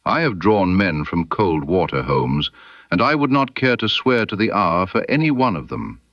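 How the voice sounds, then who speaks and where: A sober Victorian doctor, read by a male audiobook narrator, candid and earnest in manner. His voice sits low with expressive inflection and is recorded dry. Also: normal speed, dry recording, highs cut off.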